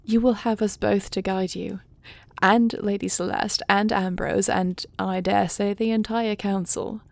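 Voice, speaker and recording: Woman voicing regal dragon queen, audio roleplay, tender, earnest, formal measured diction, gravelly fry, dry sound. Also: medium pitch, fairly even intonation, dry recording. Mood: reassuring, stately. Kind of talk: scripted audio roleplay drama.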